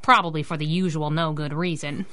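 An actress playing a tough, young, wisecracking superhero sidekick, sassy and slangy, in a 1930s-style radio drama. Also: female voice, deep voice, fairly even intonation, a little fast, bright, forceful tone. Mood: wry.

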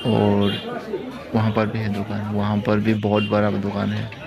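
Low, monotone man vlogging. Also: measured pace, gravelly. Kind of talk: casual walk-through vlog commentary. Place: outdoor street market, walking. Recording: loud busy outdoor crowd noise.

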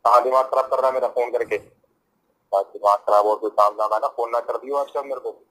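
A man's voice: medium pitch, fairly even intonation, brisk, a bit bright. A young man with a North Indian accent, scolding and confrontational in a heated argument over a phone speaker. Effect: phone call on speaker. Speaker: prank call recipient.

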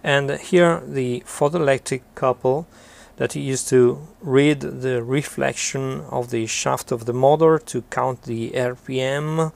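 Male maker, middle-aged, for technical explainer: slow, halting delivery, plenty of creak. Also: mid-range voice, steady tone, darkish tone. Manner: halting, deliberate phrasing. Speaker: maker presenting an electronics project. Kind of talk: technical explanation of a homemade device.

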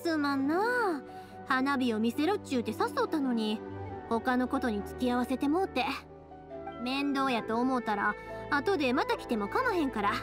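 A woman's voice: high voice, lively intonation, normal speed. A woman playing a spirited, caring young fireworks maker in a game, speaking reassuringly with a Kansai accent. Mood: friendly, thoughtful. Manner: reassuring, friendly, earnest. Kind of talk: video game voice acting. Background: background music.

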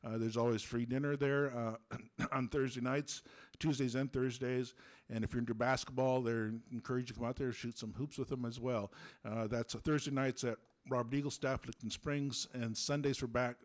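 A middle-aged man, hoarse from a cold, giving halting remarks into a handheld mic at a school board meeting, clearing his throat now and then. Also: mid-range voice, fairly even intonation. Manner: halting, many fillers. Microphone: handheld microphone held close.

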